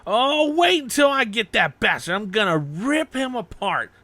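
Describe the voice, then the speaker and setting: A man voicing a hot-headed young swordsman from an anime story, belligerent and yelling, spoiling for a fight. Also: high voice, big pitch swings, moderate pace, dry recording, voice pushed up, heavily acted. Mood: fierce excitement.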